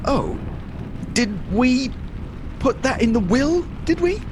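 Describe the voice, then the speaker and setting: A man's voice: very high-pitched, big pitch swings, takes it slowly, background noise, full character voice. A man acting a mild, sheepish husband and father in a comic radio play, hesitant and bantering. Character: hapless, mild-mannered husband and father, freshly dead.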